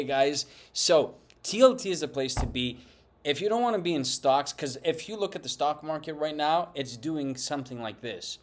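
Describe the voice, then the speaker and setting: A man whose first language is Greek, speaking English with a Greek accent as the host of a finance channel. He gives opinionated market commentary in an emphatic, lecturing way and keeps tagging his points with questions. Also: a little high. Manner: emphatic and lecturing, with many tag questions and taunts.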